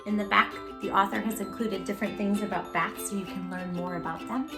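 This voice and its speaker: A woman reading a children's story aloud in an expressive, exaggerated manner, speaking directly to young listeners. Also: medium pitch, brisk, over music. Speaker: children's storytime host and teacher-like reader.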